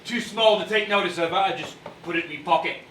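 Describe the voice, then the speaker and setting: An actor playing a good-natured, modest husband on stage, speaking in a placating theatrical manner. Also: male voice, high voice, a little fast, a bit bright. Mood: modest, friendly. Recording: echoey distant stage recording, faint noise.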